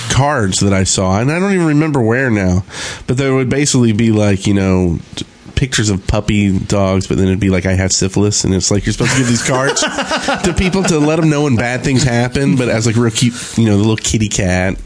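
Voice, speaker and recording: A man's voice: on the low side, lively intonation, brisk. A man co-hosting a casual gaming podcast, wry and teasing, given to rambling stories. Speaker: podcast co-host.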